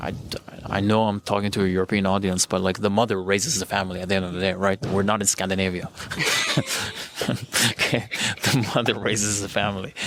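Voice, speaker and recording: A man's voice: lowish voice, fairly bright tone, slight background noise. A man from Jerusalem, a native Armenian speaker, chatting animatedly as a podcast guest and joking and laughing often. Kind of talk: casual interview conversation.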